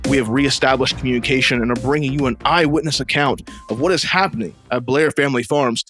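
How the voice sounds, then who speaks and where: An actor playing a solemn, shaken news anchor in a 1930s-style radio drama, formal and grave. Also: male voice, medium pitch, quick pace, music in the background, close to an everyday voice. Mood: composed.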